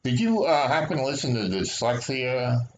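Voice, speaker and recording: An older man from New Jersey, a podcast guest, talking in a low-key and modest way. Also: expressive, moderate pace, slight background noise, rough recording. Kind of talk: casual conversational chat.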